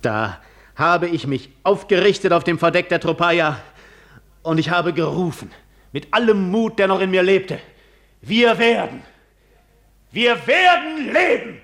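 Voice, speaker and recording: Intense, weary wanderer hero. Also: male voice, sits high, very animated, a little slow, pauses often, fairly bright tone, full character voice. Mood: desperate defiance. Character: Odysseus, weary, scarred middle-aged wanderer, brusque and defiant. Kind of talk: 1950s German radio drama acting.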